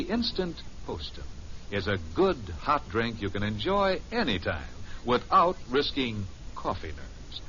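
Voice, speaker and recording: A man's voice: mid-range voice, a bit bright. An American man delivering a folksy, chatty and persuasive 1950s radio advertisement. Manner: chatty, persuasive direct address. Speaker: commercial announcer. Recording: muffled, noisy old radio recording.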